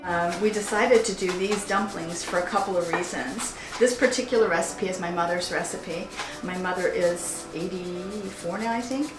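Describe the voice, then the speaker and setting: A woman's voice: on the low side, moderate pace, few pauses. A middle-aged American woman talking informally and explaining things as a home cook presenting a cooking demonstration. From United States, partly Hawaii. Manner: conversational, explanatory. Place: indoors, roomy kitchen. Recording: echoey room with music behind.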